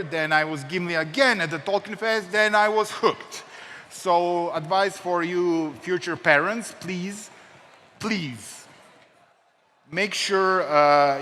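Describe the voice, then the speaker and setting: A young man from Serbia talks to a convention crowd with wry, self-mocking jokes. His voice is bright and sits a bit high for a man. It rings out in a reverberant hall with a faint hiss underneath. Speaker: convention panelist. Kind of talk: joking convention talk.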